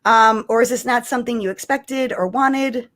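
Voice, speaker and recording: A woman's voice: a little high, moderate pace, fairly bright tone. A woman hosting a casual live fan discussion, talking informally. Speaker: live stream host.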